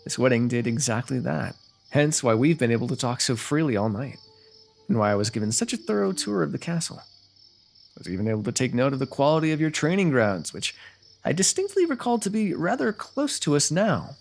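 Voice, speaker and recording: Guy voicing a cocky teasing fantasy rival noble for asmr roleplay, formal wordy talk, bit of vocal fry, normal male pitch. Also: very animated, fairly quick. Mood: wry, conversational. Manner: formal, wordy, playfully goading. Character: confident, teasing fantasy royal rival turned ally.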